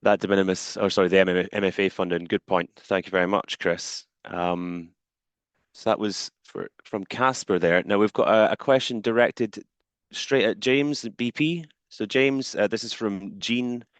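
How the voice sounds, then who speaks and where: A man's voice: on the low side, a little slow, dry recording. A man hosting a video-call webinar, politely moderating questions with frequent hesitations. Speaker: webinar host.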